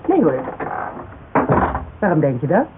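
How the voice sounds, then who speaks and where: A woman's voice: deep voice, sing-song, unhurried. Blunt, teasing woman on a tinny recording. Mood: lighter. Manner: frank, sarcastic, conversational. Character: worldly, plain-spoken woman, blunt and teasing. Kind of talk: Dutch radio drama acting. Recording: tinny band-limited radio recording, faint hiss.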